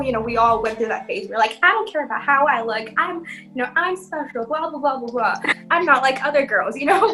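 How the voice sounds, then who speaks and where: A young woman with a high, bright voice talks as a podcast co-host. Her delivery is informal and a little tentative, like a chat among friends, with music behind her. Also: poor audio.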